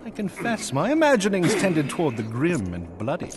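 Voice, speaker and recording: Casting: gracious lord of a knightly outpost, fantasy game; formal old-world diction, highly varied intonation. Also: male voice, wildly swooping pitch, normal speed, over music. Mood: welcoming, curious. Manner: formal, archaic, courtly phrasing. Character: earnest, gracious nobleman commanding a snowbound knightly outpost. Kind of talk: scripted fantasy game dialogue.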